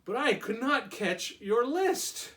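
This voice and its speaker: Helpful frog pal for a children's storybook, played by a man: high, lilting, animated, encouraging. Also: slow, exaggerated voice. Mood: apologetic.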